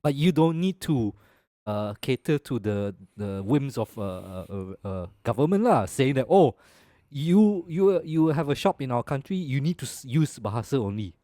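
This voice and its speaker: A young Malaysian man chatting on a podcast, easygoing and opinionated, tagging "lah" onto his points. His voice sits at an ordinary middle pitch and sounds close and dry, with no room echo at all. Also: moderate pace. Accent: Malaysian English. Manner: relaxed and opinionated, ends phrases with "lah". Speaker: podcast co-host. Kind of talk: casual podcast chat.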